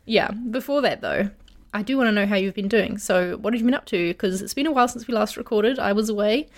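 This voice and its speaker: A woman's voice: medium pitch, fairly quick. Enthusiastic woman podcast co-host. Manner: friendly, enthusiastic, explains step by step. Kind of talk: casual podcast chat.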